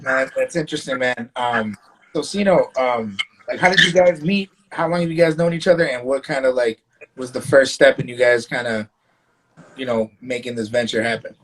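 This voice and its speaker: A man's voice: mid-range voice, moderate pace, a bit bright, a little hiss or hum, poor audio. A man hosting a casual live-stream interview show, speaking loosely with lots of fillers and restarts. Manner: loose, filler-heavy, stumbles over his words. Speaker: live talk show host.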